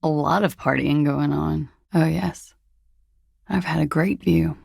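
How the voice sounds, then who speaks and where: An actress playing a cool, guarded young woman in a video game, with a husky, breathy, gravelly voice and a languid, dryly understated delivery. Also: sits low, steady tone, measured pace, a little mellow, no room sound, heavily acted. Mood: wry, detached. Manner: languid, dry, wryly understated. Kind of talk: video game character dialogue.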